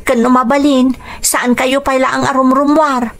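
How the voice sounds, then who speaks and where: An actress playing a young daughter who is caring and worried about her parents, earnest and expressive in an Ilocano radio drama. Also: high-pitched, normal speed.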